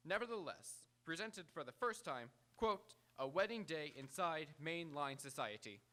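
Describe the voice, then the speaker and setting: A man acts a grandiose magazine publisher in radio theatre. The voice is fairly high and forceful, pompous and clipped, full of command. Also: lively intonation, moderate pace. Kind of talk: live radio drama acting. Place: auditorium stage, live radio theatre.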